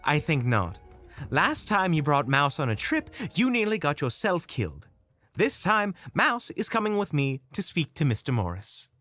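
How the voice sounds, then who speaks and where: A man's voice: fairly high pitch, huge pitch swings, normal speed, pushed and bright, no echo, faint music behind, full character voice. Man playing a courteous, lilting guild leader. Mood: weary, firm. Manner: formal, courteous, restrained. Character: polite, weary, dignified guild leader of adventurers. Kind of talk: fantasy audio roleplay acting.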